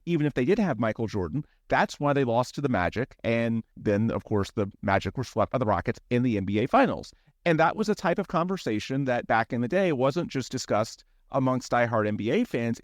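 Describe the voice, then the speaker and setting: A man's voice: mid-range voice, no room sound, studio quality. A man hosting a sports podcast, asking long, winding questions full of asides. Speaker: podcast host.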